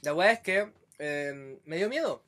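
A man's voice: fairly high pitch, animated, unhurried, a bit bright. A young Chilean man in his twenties, co-hosting a podcast in casual, laughing chat, swearing and getting exasperated. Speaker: podcast co-host.

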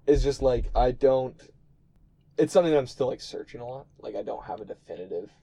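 A twenty-one-year-old man from Canada talks in a hesitant way, pausing to search for words. His voice has a mellow, warm tone at a middle pitch. He is recorded in a small, hot room. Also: animated, gravelly, no room sound. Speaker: podcast co-host. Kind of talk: casual chat.

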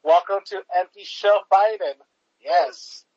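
Man, high-pitched co-host, halting delivery with stacked fillers, dry lo-fi sound. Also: fairly even intonation, darkish tone, dry recording. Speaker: podcast co-host. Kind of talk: casual political chat.

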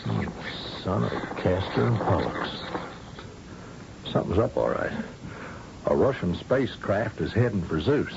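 A folksy, evasive space-colony governor with a jovial, patronizing manner. His voice is fairly low, in old radio drama style on a muffled, noisy recording. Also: lively intonation, a little slow, creaky, pauses often. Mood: preoccupied.

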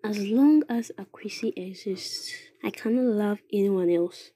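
A woman acting a sharp-tongued young woman in love in an audio play, with a Ghanaian accent. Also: mid-range voice, a little slow, background noise, natural voice. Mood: irritated. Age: young adult. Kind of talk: dramatic dialogue in an audio play.

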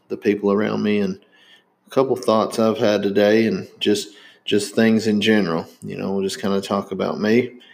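A man's voice: fairly low pitch, vocal fry, darkish tone. A man in his thirties from Indiana with a Southern accent, hosting a podcast in casual, rambling chat. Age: 30s. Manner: rambling, many fillers and restarts. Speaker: podcast host.

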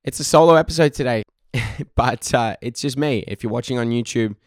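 A man in his thirties with a husky voice from a cold, hosting a casual solo podcast from his couch at home. He rambles in a relaxed way, laughs often and swears casually. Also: mid-range voice, fairly quick, dry recording, studio quality. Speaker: podcast host.

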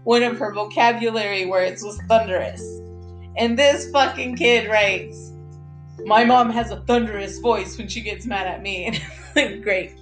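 A woman in her thirties hosting a podcast in a casual monologue, talking fast and excitedly, stretching her words, swearing often and dropping Spanish phrases into her talk. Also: medium pitch, over music, poor audio. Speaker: podcast host.

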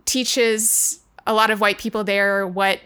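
A woman in an unscripted literary discussion, speaking in a halting, reflective manner. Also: medium pitch, flat delivery, sharp tone, clear, resonant tone. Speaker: book discussion podcast participant.

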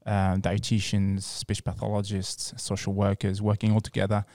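A man from France with a French accent, answering casually as an interview guest in a halting, repetitive way. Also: fairly low pitch, completely flat delivery, a little mellow, no echo. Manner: halting, repeats words and phrases.

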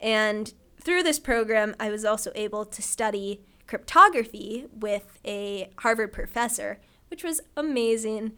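A teenage girl from Ohio, a high school senior, chatting enthusiastically as a podcast guest. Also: lively intonation, unhurried, hardly any pauses, pushed and bright, smooth. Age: teens. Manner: enthusiastic, upbeat. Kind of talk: casual interview chat.

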